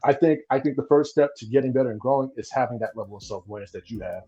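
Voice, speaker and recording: A man on a podcast chiming in with quick affirmations and agreeable remarks. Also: mid-range voice, dark tone, lo-fi sound, faint music behind. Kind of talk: casual podcast chat.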